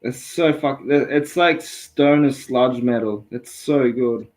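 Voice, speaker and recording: A man's voice: medium pitch, slow, darkish tone. A man co-hosting a casual podcast, rambling and hesitant, swearing casually as he talks. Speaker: podcast co-host.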